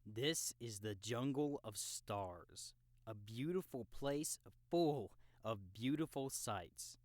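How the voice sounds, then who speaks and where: A man's voice: mid-range voice, expressive, slow pace, no echo. A man playing a cocky, laid-back young gamer guide in an audiobook, casual, slangy and teasing. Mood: explanatory. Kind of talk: audiobook character dialogue in a game-world story.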